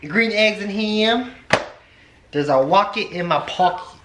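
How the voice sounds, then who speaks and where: A woman's voice: mid-range voice, a little slow, noisy background. A middle-aged woman chatting casually as a visiting mother in a family vlog. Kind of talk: casual chat.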